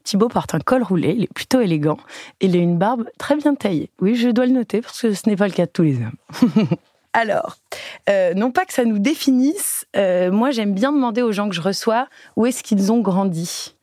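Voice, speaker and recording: A woman's voice: medium pitch, animated, dark tone, dry recording. Dry-voiced woman hosting a podcast. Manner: light, encouraging, quick follow-up questions. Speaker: podcast host. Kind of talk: friendly interview chat.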